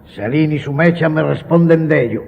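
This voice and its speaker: An actor playing a proud, resolute vizier father facing death, measured and solemn, in a radio drama. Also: fairly high pitch, creaky. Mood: calm resolve. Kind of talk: Spanish radio drama acting. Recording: dull lo-fi audio with faint noise.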